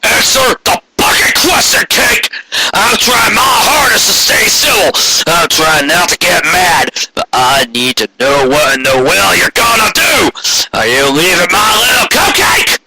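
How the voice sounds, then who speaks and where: A man voicing a huge, gruff, foul-mouthed old stallion father-in-law who roars his demands in a fan fiction story. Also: high-pitched, animated, unhurried, very noisy, voice pushed up. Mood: furious. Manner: roaring, confrontational. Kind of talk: amateur fan fiction audio reading.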